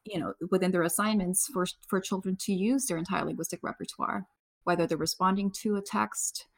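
A woman educator giving unscripted commentary in a discussion, somewhat halting as she thinks aloud. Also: mid-range voice, steady tone.

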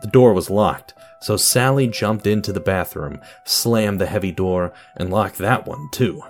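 A man's voice: fairly low pitch, unhurried, faint music behind. A man who hosts an audio drama podcast, reading scripted narration and announcements in a dramatic storytelling way.

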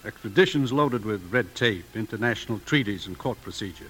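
An American actor playing a matter-of-fact government office clerk in a 1950s radio drama, husky and businesslike. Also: male voice, on the low side, expressive, fairly quick, a bit bright, a little hiss or hum. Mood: offhand. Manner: offhand, businesslike. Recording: 1950s radio broadcast recording.

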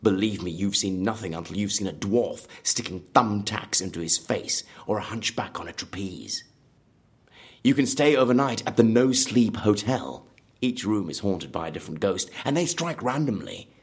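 Brash morbid showman, low husky voice, swooping emphasis, gleeful about gore, audio drama. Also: moderate pace. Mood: excited showmanship. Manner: animated, relishing gruesome details, dramatic emphasis. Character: brash, morbidly enthusiastic male designer of a dangerous theme park.

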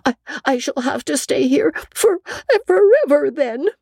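A woman voicing a stubborn, mournful young orphan girl in a storybook reading, theatrical and halting. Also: sits high, lively intonation, gravelly, warm tone, dry recording, clean studio sound, voice pushed up, exaggerated voice. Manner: theatrical, halting with stammered repeats.

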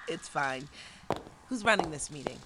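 A brisk vice president with little patience. She is sardonic and bossy, in audio drama style, over a clearly noisy background. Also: low voice, animated. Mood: wry. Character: brisk, impatient female corporate vice president.

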